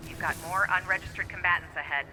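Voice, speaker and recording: A man playing a brisk, hard-nosed commander in a video game, handing out orders. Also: high-pitched, fairly even intonation, over music, lightly acted, poor audio. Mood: brisk, approving. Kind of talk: video game cutscene acting.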